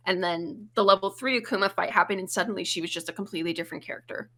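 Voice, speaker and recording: A woman with a bright, forward voice talks as a guest on a casual podcast. She explains things at length and swears casually. She is recorded remotely over the internet. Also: medium pitch, very fast.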